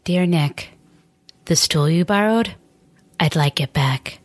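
A woman's voice: low-pitched, takes it slowly. A woman playing a bitter, wounded ex-partner in a dramatic reading of breakup messages. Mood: coolly formal. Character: bitter ex-partner feigning civility after a breakup.

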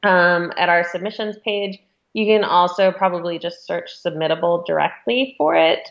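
A woman's voice: on the low side, moderate pace, vocal fry. A woman speaking as an interviewed magazine editor, explaining things in an informal, conversational way with some hedging. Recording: dull, muffled lo-fi audio.

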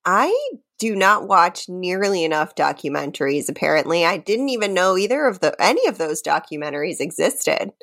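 A woman's voice: fairly low pitch, lively intonation, normal speed, fairly bright tone, dry recording, clean studio sound. A woman co-hosting a podcast in casual chat, with a wry, teasing way of talking. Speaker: podcast co-host.

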